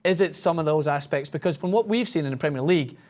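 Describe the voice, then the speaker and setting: A man's voice: on the high side, fast, a little mellow. A man hosting a sports panel, leading the talk and steering the question to a guest. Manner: leads the talk, lots of short agreeing replies. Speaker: host.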